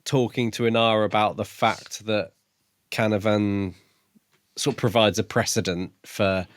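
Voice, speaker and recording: A man co-hosting a podcast, talking casually about books in a measured, slightly hesitant way. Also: on the low side, fairly even intonation. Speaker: podcast co-host. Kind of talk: casual book discussion.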